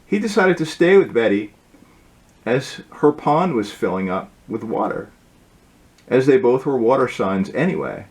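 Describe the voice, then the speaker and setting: Male author for storybook read-aloud; medium pitch, informal delivery with the odd halting aside. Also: expressive. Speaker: author reading own book aloud.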